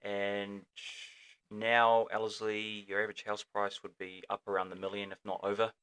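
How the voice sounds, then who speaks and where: A man's voice: on the low side, completely flat delivery, normal speed, a bit bright, clean tone. A man from Auckland with a New Zealand accent, a guest on a casual podcast in a small studio, talking in an easygoing, chatty way with lots of fillers. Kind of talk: casual chat interview.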